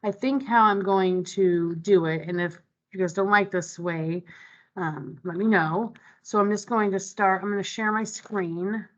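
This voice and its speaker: A woman's voice: medium pitch, steady tone, unhurried, muffled recording. A woman running an online committee meeting, talking through the agenda in a conversational way with many ums and restarts. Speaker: meeting facilitator. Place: online video call. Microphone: video call microphone.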